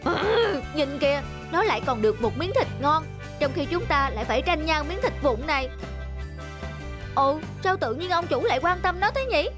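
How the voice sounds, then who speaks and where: A woman voicing envious, grumbling young sled dogs in an animated cartoon, complaining and exclaiming. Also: high-pitched, normal speed. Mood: indignant, envious. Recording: music under the dialogue.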